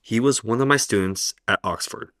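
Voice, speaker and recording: A kindly elderly professor, voiced by a man, talks in a calm, measured way with a very deep voice. His lines in this radio play sound dry and close-miked. He is wry and reassuring. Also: no echo, natural voice. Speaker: professor character.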